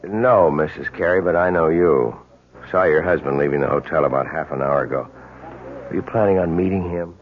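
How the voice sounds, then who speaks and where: A man playing a persistent, polite police lieutenant in a radio drama, speaking in a measured, insistent way. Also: very deep voice. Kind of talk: 1970s radio drama acting. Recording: dull old radio, faint noise.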